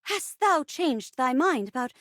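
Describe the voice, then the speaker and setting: Woman as a high, grand forest spirit. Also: very animated, unhurried, a bit bright, no echo, clean studio sound, heavily acted. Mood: grand, earnest. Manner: grand, archaic, declamatory. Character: earnest female forest spirit, questing fantasy heroine.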